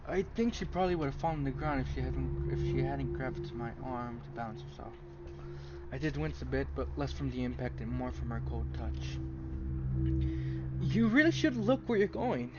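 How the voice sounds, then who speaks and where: A man's voice: mid-range voice, a little fast. A man reading a visual novel aloud as an amateur gamer, with casual commentary along the way. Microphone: poor microphone. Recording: muffled lo-fi mic with loud noise.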